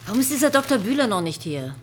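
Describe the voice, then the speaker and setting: An actress playing a brusque, sardonic and stubborn police detective in a TV crime drama. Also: female voice, a little high, very animated, fast, a little hiss or hum. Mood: brisk, determined.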